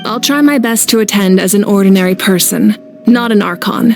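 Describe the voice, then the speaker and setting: A woman playing a composed, gracious and humble nation leader in an anime fantasy game, speaking in a measured way. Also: medium pitch, vocal fry, darkish tone, close to an everyday voice. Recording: faint background game music.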